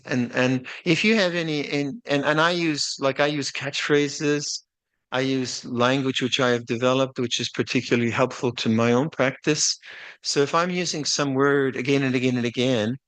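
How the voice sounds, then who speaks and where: An older man, a Buddhist monk, giving opening instructions at a meditation retreat in a reflective, plainspoken way with gently self-deprecating humor. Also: mid-range voice, no room sound. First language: English. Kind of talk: dharma talk, opening instructions.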